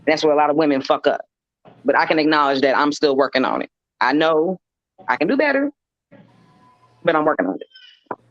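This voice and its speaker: An American woman with a deep voice, talking bluntly and swearing freely in a casual panel discussion. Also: sing-song, brisk, long pauses, no echo. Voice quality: deep, bassy. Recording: slightly dull, lo-fi, dry sound.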